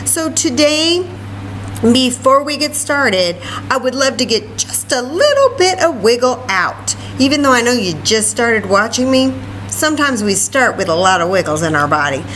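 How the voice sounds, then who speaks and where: A woman's voice: on the high side, sing-song, normal speed, fairly bright tone, a little hiss or hum, rough recording. A woman hosting story time for young children, chatty and encouraging, talking to them directly with frequent asides. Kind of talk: sing-song story time for young children, singing, read-aloud and chatting.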